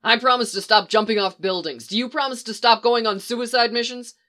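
A woman voicing a reckless young male agent, shouting and swearing bitterly. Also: a little high, a little fast, voice pushed up. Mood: impatient.

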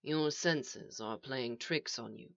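A woman voicing a calm, eerie male stranger in an audio story, speaking softly, slowly and with polite patience. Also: extremely low pitch, creaky, voice pushed down, heavily acted. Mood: soft, reasoning. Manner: soft, slow, even and reasonable. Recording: echoey, slightly dull home recording.

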